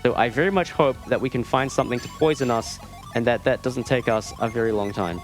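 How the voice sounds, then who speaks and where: A man talks in a casual, wry way as he plays a video game for an audience of viewers, often cutting his own sentences short. His voice sits at a middle pitch, with faint game music underneath. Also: fast. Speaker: speedrunner playing and commentating. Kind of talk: live gameplay commentary, casual. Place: gaming marathon event, streamed.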